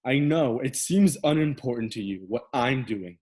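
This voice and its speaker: A man playing a frustrated, rebellious young adult son in a stage play reading, exasperated, sarcastic and halting. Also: mid-range voice, lively intonation, a little mellow, natural voice. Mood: exasperated, bitter.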